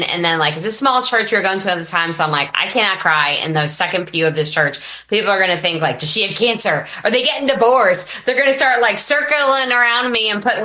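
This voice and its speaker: A woman guest on a podcast telling personal stories casually, chatty and animated, acting out bits of dialogue. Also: mid-range voice. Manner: animated, chatty, quotes herself and others in dialogue. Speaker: podcast guest, business coach. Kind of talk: casual personal storytelling in an interview.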